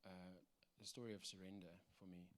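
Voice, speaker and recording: A man in his thirties with a South African accent, giving a personal church testimony in a halting way with many ums and restarts. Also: low voice, level tone, a bit bright. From South Africa, Cape Town.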